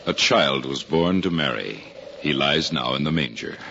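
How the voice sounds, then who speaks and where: Very deep-voiced, gentle carpenter. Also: male voice, animated, heavily acted. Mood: calm, solemn. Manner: solemn, unhurried, formal. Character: kindly, calm carpenter of Nazareth, gentle and dignified. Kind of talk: 1950s radio drama acting. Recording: muffled, faintly noisy 1950s radio recording.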